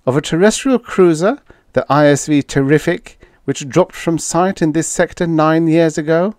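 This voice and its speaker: A man's voice: on the high side, moderate pace, a little mellow, smooth, no echo, clean studio sound, pitched above the performer's own voice, natural voice. A man playing a tough, sarcastic diplomat in an audiobook, pressing and snapping with blunt accusations. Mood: firm, commanding. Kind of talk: audiobook character dialogue.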